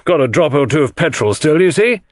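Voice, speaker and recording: Man acting a blustering English country gentleman, very animated. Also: fairly high pitch, fairly quick, no echo, clean studio sound, voice pushed up. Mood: breezy. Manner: slangy, upper-class, blustering. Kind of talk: audiobook character dialogue.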